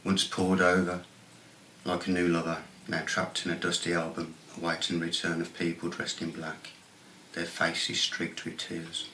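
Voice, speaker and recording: A middle-aged man, a poet, reflecting on his life and reading his poems in a candid, plain-spoken, halting way. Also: completely flat delivery, bright, forceful tone. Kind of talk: frank personal reflection and poetry reading. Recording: noisy ambience, rumbling background noise.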